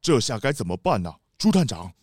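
A man playing a courteous, animated city mayor. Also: medium pitch, a little fast, heavy background noise, lightly acted. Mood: worried. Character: polite, genial male city mayor hosting guests. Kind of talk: children's audio drama acting.

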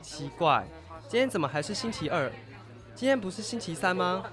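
A woman's voice: lowish voice, animated, moderate pace, music in the background, close to an everyday voice. A woman playing a nervous schoolboy who is afraid of his strict mother, in an amateur audio drama. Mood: puzzled, defensive. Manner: stammering, pleading when cornered.

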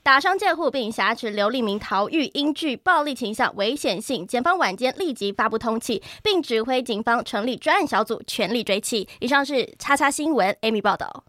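A woman's voice: on the high side, animated, a little fast, few pauses, a bit bright, dry recording, clean studio sound. A woman playing a serious female TV news reporter, reading an urgent bulletin in a formal scripted style.

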